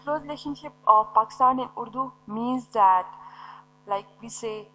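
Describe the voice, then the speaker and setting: Bright-voiced woman teaching a lecture. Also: on the high side, normal speed, rough recording. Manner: explanatory, poses and answers her own questions. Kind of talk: recorded classroom lecture.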